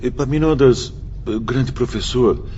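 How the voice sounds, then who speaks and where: An actor playing a humble, reverent spirit pupil who pleads earnestly in a radio drama. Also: male voice, medium pitch, unhurried, warm tone, slight background noise, natural voice. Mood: reverent, pleading. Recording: dull recording with faint music behind.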